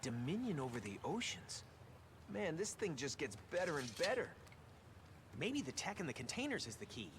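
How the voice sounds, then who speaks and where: Earnest, brainy turtle, lively intonation. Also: male voice, on the high side, moderate pace, background noise. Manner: earnest, analytical. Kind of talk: animated video game acting.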